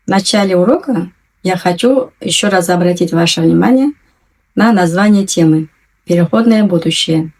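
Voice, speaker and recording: A woman teaching a language lesson, patiently and clearly enunciating, repeating each new word twice. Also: fairly low pitch, darkish tone. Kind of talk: slow, careful language lesson.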